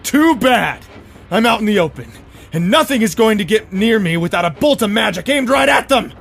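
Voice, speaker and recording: A man's voice: sits high, animated, moderate pace, gravelly, fairly bright tone, breathy edge, soft background music, heavily acted. A man playing a paranoid, agitated pony prince who rants defiantly in a cartoon fan drama. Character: frantic, paranoid pony prince firing magic at imagined foes. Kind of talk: animated fan audio drama acting.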